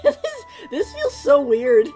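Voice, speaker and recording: A woman's voice: high voice, huge pitch swings, takes it slowly, dark tone. A woman streaming a game, giving casual live commentary and thinking aloud in a rambling way. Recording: faint game music behind.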